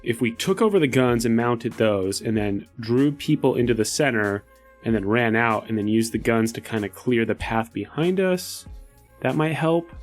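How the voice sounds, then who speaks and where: A man playing a tabletop game, talking through ideas in a casual, conversational way. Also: mid-range voice. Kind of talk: casual strategizing chat.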